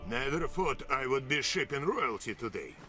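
A cynical boatman for a video game. He is gruff, blunt and wry, with a bright, forceful edge to his voice and faint music behind him. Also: medium pitch, very animated, normal speed. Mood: sardonic. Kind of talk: video game cutscene acting.

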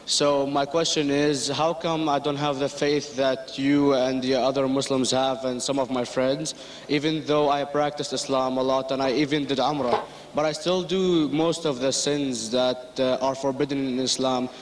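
A man's voice: medium pitch, flat delivery, normal speed, slight background noise. A man from Iraq asking a question into a handheld mic at a lecture, hesitant and rambling. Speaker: audience member asking a question. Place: large lecture hall.

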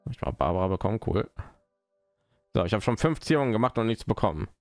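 A man's voice: lowish voice, big pitch swings, quick pace, darkish tone, no echo, clean studio sound, faint music behind. A man, streaming a game and rambling casually, muttering to himself as he plays. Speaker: live streamer. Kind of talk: casual gaming stream commentary.

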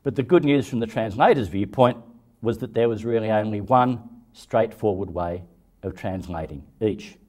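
A man in his fifties giving prepared commentary as a translator, measured and formal. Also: fairly low pitch, a little mellow.

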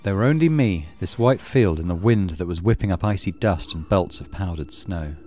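A man's voice: low-pitched, lively intonation, normal speed, darkish tone, soft background music. A man hosting a let's play, reading a horror visual novel aloud with dramatic storytelling and muttered asides. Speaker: gaming channel host.

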